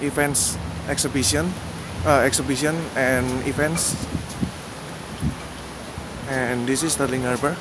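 A man narrating a walking tour outdoors, pointing out landmarks slowly and plainly, with pauses and fillers. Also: mid-range voice, steady tone. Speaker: vlogger giving a walking tour. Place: outdoors on rainy city streets. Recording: outdoor city noise behind the voice.

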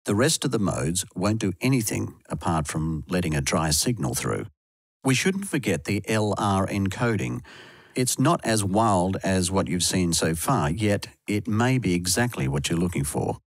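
Low-pitched man narrating a software tutorial. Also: big pitch swings, normal speed, dry recording.

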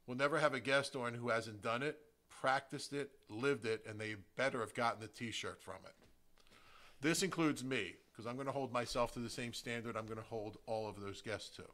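A middle-aged man with an American accent presents a prepared podcast monologue. His voice is bright and forceful at a medium pitch, and he phrases things emphatically and deliberately. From New Jersey, United States. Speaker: podcast host, real estate agent. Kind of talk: prepared solo podcast introduction.

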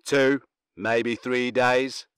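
A man voicing a deadpan, slow-witted male ship's computer in a science fiction audiobook, talking flatly. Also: mid-range voice, clean tone, dry recording, studio quality. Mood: deadpan, unconvincing.

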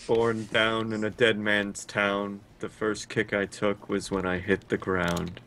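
A fairly low-voiced man delivers song lyrics as grave spoken poetry over voice chat. His recital is earnest and dramatic, with faint noise underneath. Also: flat delivery, slow, fairly bright tone. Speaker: gamer on voice chat.

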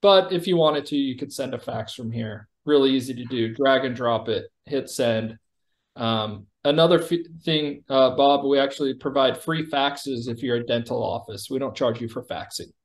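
A man's voice: mid-range voice, normal speed. A man in his forties giving an informal product demo over a video call in a plain-spoken, explanatory way. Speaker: company chief executive giving a product demo. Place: indoor room on a video call. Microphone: video call microphone.

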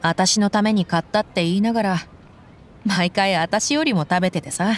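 A woman's voice: mid-range voice, normal speed, natural voice. A woman playing a tough, blunt young female mercenary who talks brusquely and casually in a Japanese anime game. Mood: grumbling.

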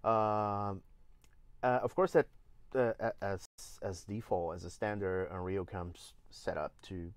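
A man in his forties from Brazil, speaking English with a Brazilian Portuguese accent, walking through a software demo step by step with many "uh"s and restarts. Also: lowish voice, steady tone, measured pace, no echo. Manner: explains step by step with frequent "uh" and restarts. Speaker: engine evangelist giving a demo. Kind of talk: live software tutorial. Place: indoor studio.

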